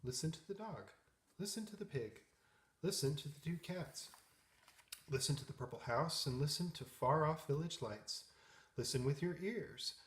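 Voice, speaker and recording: A man voicing a calm, wise moon who speaks low, soft and measured. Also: natural voice. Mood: gentle, wise. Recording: echoey room.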